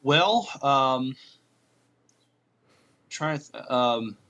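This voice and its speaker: A man's voice: mid-range voice, lively intonation, extremely slow, fairly bright tone, lo-fi sound. A man co-hosting a podcast in casual chat, hesitantly repeating words as he searches for the next. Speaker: podcast co-host.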